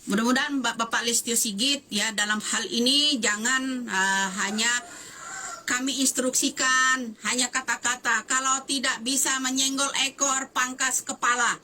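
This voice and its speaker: Woman, fifties, fiery, emphatic, repetitive, high bright voice. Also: fairly even intonation, a little slow, a little hiss or hum. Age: 50s. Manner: fiery, emphatic, repeats phrases and demands answers. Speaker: social media commentator. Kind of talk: impassioned video statement.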